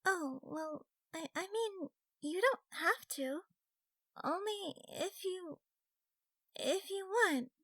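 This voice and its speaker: A young fox girl from a fantasy game story, acted out by a male narrator. The voice sits very high and sounds cutesy and nervous. She stammers shyly, yet has a feisty streak. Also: animated, slow, sharp tone, exaggerated voice. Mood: shy, hopeful. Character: shy, feisty young fox girl gamer, easily flustered.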